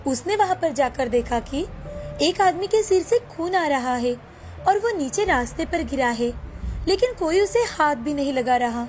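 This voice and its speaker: A woman narrating a scripted moral story as the storyteller. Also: high-pitched.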